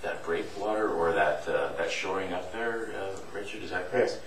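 A man's voice: low-pitched, moderate pace, a little hiss or hum. A man speaking as a town councillor, hesitant and rambling with many restarts. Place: council chamber, large indoor room. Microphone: table microphone, recorded from far. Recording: echoey room from a distant mic.

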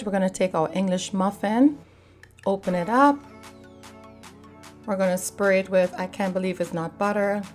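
A woman hosting a cooking video, giving calm step-by-step recipe instructions in voice-over. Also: mid-range voice. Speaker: cooking channel host.

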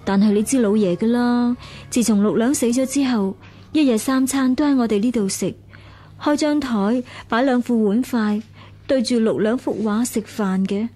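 Mellow, soft-hearted young maid, acted by a woman. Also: a little high, normal speed, lightly acted. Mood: sad, confiding. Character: soft-hearted young maidservant, dutiful and sorrowful. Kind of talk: Cantonese period radio drama acting.

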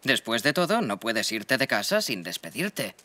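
A man voicing a calm, wry zebra father in a cartoon dub. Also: mid-range voice, moderate pace, slight background noise. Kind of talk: Spanish-dubbed preschool cartoon acting.

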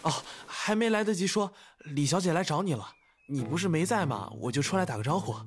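A man playing a meek, eager-to-please young man in a comedic audio drama, hesitant yet animated. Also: a little high, a little fast, a little hiss or hum, natural voice. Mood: nervous, placating.

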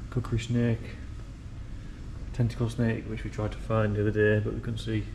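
A man's voice: fairly low pitch, monotone, moderate pace, creaky, a little mellow, slight background noise. A man hosting a vlog, guiding viewers on a tour in a chatty, enthusiastic way, then narrating. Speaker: vlog host. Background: background music.

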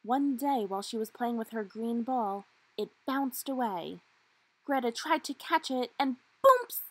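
A woman's voice: a little high, animated, measured pace, fairly bright tone, husky, rough recording. A woman reading a children's storybook aloud expressively, with dramatic emphasis on key words. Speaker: storybook reader.

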